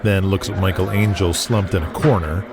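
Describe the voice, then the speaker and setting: A man's voice: sits low, fairly even intonation, normal speed. A man giving scripted, dramatic narration for an audio drama. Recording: loud music behind the voice.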